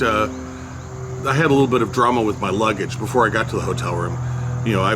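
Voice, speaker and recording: Low-voiced older man telling a story. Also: background noise. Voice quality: loud voice. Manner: unhurried, rambling, with frequent fillers and restarts. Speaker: storyteller recounting a personal memory. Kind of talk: casual spoken recollection.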